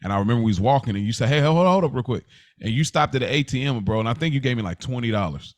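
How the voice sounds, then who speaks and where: A man's voice: medium pitch, animated, very fast, no echo. A man speaking casually and earnestly in informal slang, telling personal stories as a podcast co-host. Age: adult.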